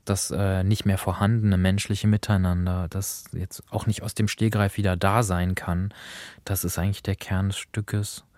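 A man with a deep voice reflects as a radio guest, in a dry, close sound. His delivery is level and thoughtful, halting as he searches for words. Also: level tone, darkish tone, dry recording. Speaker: guest commentator on a radio drama programme. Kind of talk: reflective discussion.

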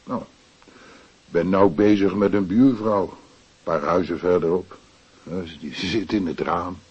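An actor playing a wry, down-to-earth middle-aged widower in a radio drama, plain-spoken and terse. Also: male voice, low-pitched, fairly even intonation, measured pace, breathy edge, highs cut off, full character voice. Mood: frank. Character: wry, down-to-earth widower, night worker who paints.

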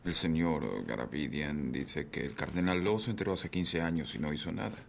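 Reserved newsroom chief, very low gravelly voice, slight room noise. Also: male voice, normal speed. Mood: calm. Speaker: new newspaper editor. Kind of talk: Latin American Spanish film dub.

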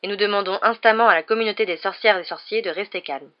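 A woman's voice: medium pitch, quick pace, lo-fi sound, natural voice. A woman voicing a stern, irritated male government minister, formal and emphatic, in a storybook newspaper report. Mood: official, irritated.

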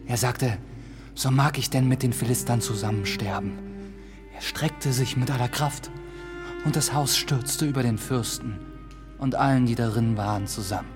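A man's voice: medium pitch, moderate pace, music in the background, natural voice. A man playing an earnest, intense young clergyman in a radio drama. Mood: grave, hushed.

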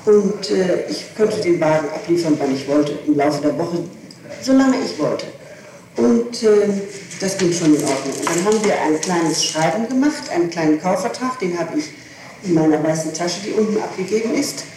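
A woman's voice: on the low side, expressive, normal speed, mellow. Woman testifying on a very echoey old tape. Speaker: suspect under questioning. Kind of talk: police interrogation testimony. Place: reverberant room, far from the microphone. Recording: echoey, distant, noisy archival tape recording.

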